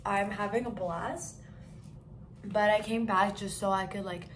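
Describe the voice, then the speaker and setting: A young woman with a hoarse voice from being sick, chatting to her phone camera in a hotel room in a bubbly, gushing way. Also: mid-range voice, steady tone, a little slow, slight background noise, poor audio. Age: young adult. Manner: bubbly, gushing, talks to viewers as guys. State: hoarse, lost voice from illness. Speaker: travel vlogger. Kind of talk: casual vlog chat to camera. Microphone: handheld phone camera at arm's length.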